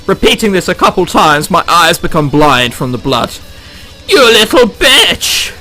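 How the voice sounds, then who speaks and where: Lively, high-pitched man reading a visual novel. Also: moderate pace, soft background music, poor audio. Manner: exclamatory, sweary. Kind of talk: dramatic read-aloud with joking commentary.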